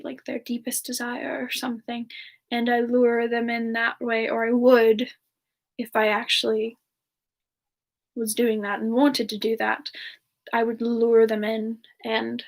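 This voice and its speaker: Woman as a high-voiced, gentle playful siren. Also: gravelly. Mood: casual, coy. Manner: soft, unhurried, giggly, hesitant. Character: gentle playful siren entertainer, affectionate toward a partner. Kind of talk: semi-ASMR live Q&A in character.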